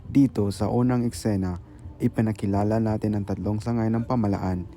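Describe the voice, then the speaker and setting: A man's voice: fairly low pitch, steady tone, a little fast, mellow. A man with a Filipino accent reading scripted explanatory narration. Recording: faint background noise.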